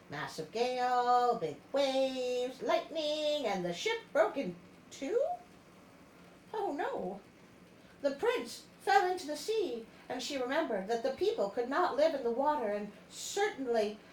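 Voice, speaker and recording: Animated high-voiced woman storyteller. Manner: dramatic, playful, expressive. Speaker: storyteller, show host. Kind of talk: animated story reading with chatty asides. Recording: roomy echo, clear background noise.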